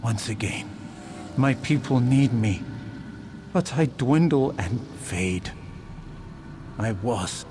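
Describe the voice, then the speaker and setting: An actor playing the fading spirit of an ancient saint in a video game, grave, solemn and noble. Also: male voice, mid-range voice, lively intonation, slow, pauses often, music in the background. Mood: solemn, weary.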